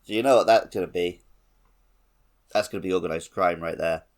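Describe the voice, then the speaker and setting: A man's voice: low voice, fairly quick. A man co-hosting a casual video game podcast, talking in a wry, joking way. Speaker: podcast co-host.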